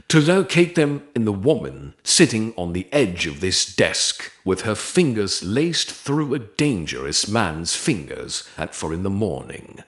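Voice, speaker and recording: A man narrating a scripted romance story in a deliberate, dramatic way with short measured phrases. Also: on the low side, a bit bright.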